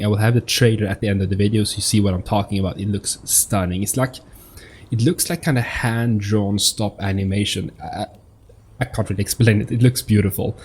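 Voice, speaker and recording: Low-voiced man, casual gaming commentary. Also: darkish tone, breathy edge. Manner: hesitant, repeats words, thinks aloud. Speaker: gaming YouTuber. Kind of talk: casual let's-play commentary.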